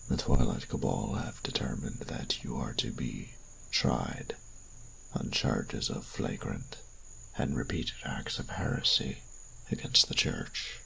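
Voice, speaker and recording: A man playing a cold, stern, ancient vampire inquisitor priest in an audio drama, with a gravelly rasp and slow, formal, solemn delivery. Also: very deep voice, a bit bright, pitched below the performer's own voice, heavily acted, low-quality recording. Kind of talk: dramatic audio roleplay acting.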